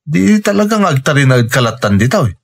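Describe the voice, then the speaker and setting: A man's voice: on the high side, very animated, a little fast, creaky, heavily acted. A man playing a concerned, supportive friend in an Ilocano radio drama, conversational and advising, with an Ilocano accent. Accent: Filipino, Ilocano. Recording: music bed under the voice. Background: music.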